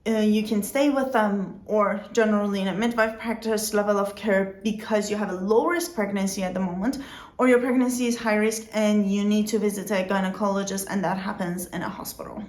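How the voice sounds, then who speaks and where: A woman's voice: medium pitch, a little fast, a little mellow, roomy sound. A young woman, not a native English speaker, explaining things casually in a vlog with fillers and self-corrections. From outside the Netherlands. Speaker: vlogger.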